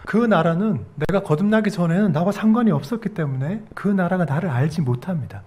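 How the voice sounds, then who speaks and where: A man's voice: high-pitched, a little fast, darkish tone, slightly rough. A middle-aged man with a Korean regional dialect preaching a sermon as pastor, explaining verses step by step and asking the congregation questions. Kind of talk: expository sermon.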